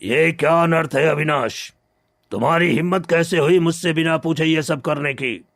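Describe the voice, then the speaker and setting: A man's voice: a little high, normal speed, fairly bright tone, no echo, clean studio sound. A man playing a domineering, calculating wealthy moneylender and elder brother in a cartoon story. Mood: outraged. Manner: stern, calculating. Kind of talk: Hindi animated moral story dialogue.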